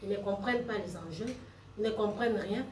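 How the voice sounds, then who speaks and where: A woman's voice: mid-range voice, fairly quick. A woman from Congo with a Congolese French accent, speaking off the cuff and insistently from the floor of a political meeting. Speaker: party member speaking from the floor. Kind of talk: impromptu speech at a political meeting. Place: meeting room, indoors. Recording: roomy, distant mic, noisy hall.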